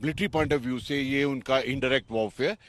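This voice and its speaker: A man's voice: medium pitch, fast, fairly bright tone, dry recording. A man giving unscripted military commentary in an interview, mixing English terms into his talk. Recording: faint background ambient noise.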